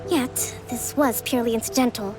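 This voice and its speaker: A woman voicing a gentle, composed young female leader in a video game, speaking in a measured, formal, diplomatic way. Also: on the high side, close to an everyday voice, rough recording. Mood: gentle reproof. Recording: music behind the voice.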